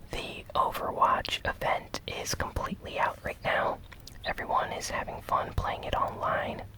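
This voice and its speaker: A host softly whispering a gaming news recap in a breathy, unhurried ASMR style. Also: rough recording. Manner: slow, soft, unhurried delivery.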